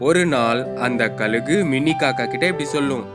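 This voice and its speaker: A man's voice: mid-range voice, normal speed. A man narrating a scripted moral story for children. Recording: loud guitar music behind.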